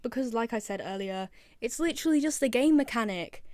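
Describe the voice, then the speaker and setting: A woman's voice: a little high, expressive, brisk, a little mellow, no room sound, faint music behind. Girl doing casual fan ranking video, really enthusiastic, repeats herself, dry close mic. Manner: enthusiastic, repeats phrases for emphasis. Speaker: video presenter.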